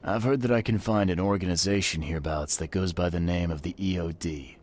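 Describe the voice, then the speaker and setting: Man playing a deep-voiced, world-weary detective. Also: a little fast, a little mellow, lightly acted. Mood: skeptical. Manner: dry, laconic, guarded. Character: terse, world-weary hard-boiled male private detective. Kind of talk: video game cutscene acting.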